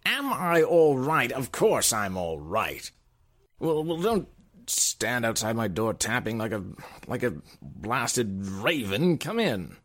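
A snappish, restless gentleman of the house, acted by a man, in radio-drama style. His pitch leaps about wildly while his pace stays slow. There is clear background noise. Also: very animated. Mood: irritable, snappish. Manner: gruff, snappish, blustering. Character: irritable, restless, nervous gentleman master of the house. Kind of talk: old-time radio drama acting.

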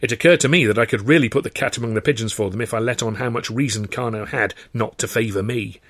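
A man's voice: medium pitch, fast, fairly bright tone, no echo. A man reading a first-person novel aloud as scripted audiobook narration. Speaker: author reading his own novel, narrator.

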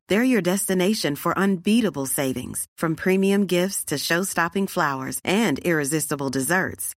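A woman's voice: lowish voice, dry recording, studio quality. Polished woman reading an ad. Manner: smooth, polished delivery. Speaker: ad announcer. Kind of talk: scripted ad read.

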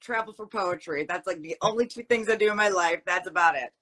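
A woman's voice: on the low side, quick pace, fairly bright tone. A woman co-hosting a casual chat, talkative and emphatic, often repeating her phrases. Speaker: podcast co-host.

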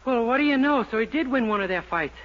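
A man's voice: very high voice, animated, fast, dull audio. An actor playing a naive, bashful young man who gets flustered easily, in 1940s radio sitcom acting.

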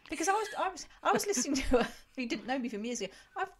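Lively, quippy woman. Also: sits high, rapid-fire. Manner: quippy, playful asides and teasing. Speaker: podcast co-host. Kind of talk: casual chat.

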